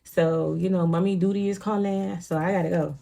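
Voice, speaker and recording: A woman's voice: on the low side, steady tone, brisk, creaky, dark tone. A young woman with a Haitian Creole accent, a native Creole speaker, giving a casual tarot reading in blunt, profane talk full of "you know what I'm saying". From Haitian home. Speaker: tarot reader.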